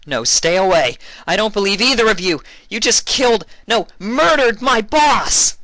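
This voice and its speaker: A man voicing a frightened, mouthy young female pony in a storybook reading, with shrill pleading and exclaiming. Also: lively intonation, a little slow, bright, forceful tone, no room sound, heavily acted, low-quality recording. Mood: panicked.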